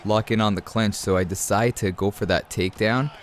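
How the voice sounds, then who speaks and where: A man's voice: on the low side, steady tone, fairly quick, slight background noise. A young man from Canada with a cold, chatting casually while breaking down his gameplay, often calling his listeners man and guys. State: has a cold. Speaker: gaming commentator.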